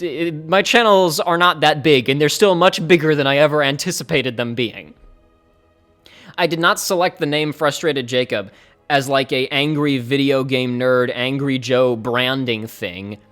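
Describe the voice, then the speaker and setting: A young male streamer with a slightly high voice chats to his viewers off the cuff. He rambles and thinks aloud, sometimes rushing ahead and sometimes trailing off to a mutter. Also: fairly bright tone, soft background music. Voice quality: fairly high-pitched voice. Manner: rambling, candid, speeds up and trails off. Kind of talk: casual live-stream talk to chat.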